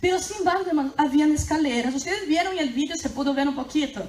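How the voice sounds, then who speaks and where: A woman's voice: high-pitched, fast, darkish tone, slight background noise. A woman whose first language is Portuguese, preaching a sermon with emphatic bursts of fervor. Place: church hall. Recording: echoey church hall.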